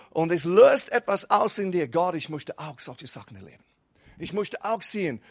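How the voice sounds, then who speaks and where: Young adult male guest pastor, non-native speaker with a foreign accent, high voice, casual preaching style. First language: not German. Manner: casual, mixes English words, rising and falling emphasis. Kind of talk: sermon.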